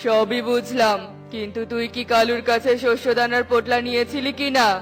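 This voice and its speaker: A woman's voice: on the high side, level tone, moderate pace, faint music behind, lightly acted. A woman voicing a solemn male eagle chief who judges with grave authority in a children's cartoon. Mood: stern.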